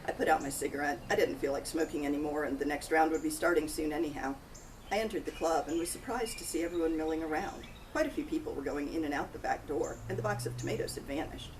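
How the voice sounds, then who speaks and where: A woman's voice: sits low, fast. A woman author reading first-person narration from her own cozy mystery novel. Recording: distant mic, loud outdoor noise, birds, crickets.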